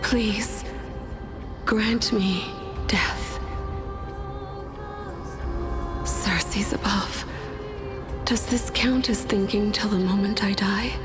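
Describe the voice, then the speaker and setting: Woman acting a slow, weary dead scholar. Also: mid-range voice, steady tone, close to an everyday voice. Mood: resigned, pleading. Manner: polite, breathy, philosophical, resigned. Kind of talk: dubbed fantasy game dialogue. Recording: orchestral game music behind.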